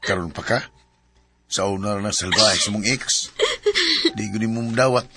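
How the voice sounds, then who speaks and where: A man's voice: fairly low pitch, normal speed, bright, forceful tone, faint music behind. A man playing a devoted male best friend in a radio drama, earnest and pleading. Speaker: best friend turned suitor.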